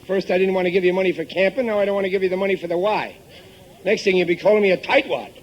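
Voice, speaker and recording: A man's voice: high-pitched, racing, lo-fi sound, lightly acted. An actor playing a middle-aged, working-class American father, blustering, exasperated and tightfisted, in a 1950s radio sitcom. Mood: grumbling, blustering. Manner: blustering, indignant, folksy grammar.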